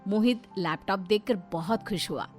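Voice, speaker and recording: A woman's voice: medium pitch, normal speed, a little mellow, faint music behind. A woman giving scripted narration of a story.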